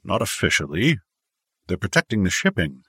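Man voicing a low, grave older businessman. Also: very animated, moderate pace, bright, forceful tone, husky, dry recording, clean studio sound, exaggerated voice. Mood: doubtful, cautious. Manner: subdued, doubtful, weary.

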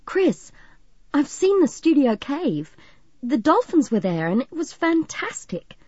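Warm, eager teen girl played by a female narrator, high and animated, concerned, audiobook. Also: a little slow, dry recording, lo-fi sound. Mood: excited. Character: warm, excitable, sympathetic teenage girl.

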